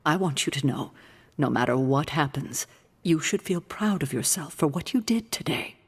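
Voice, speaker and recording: Self-sacrificing female mentor, low register, slightly rough, measured, solemn, video game drama, long reverb. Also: lively intonation, full character voice. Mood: proud, earnest. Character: weary, proud, self-sacrificing woman mentor without powers.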